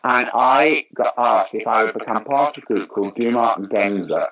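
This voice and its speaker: Older man telling lively stories. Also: on the low side, normal speed, rough recording. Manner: animated anecdotal storyteller with sudden loud bursts. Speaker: guest actor and comedian. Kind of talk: conversational interview storytelling.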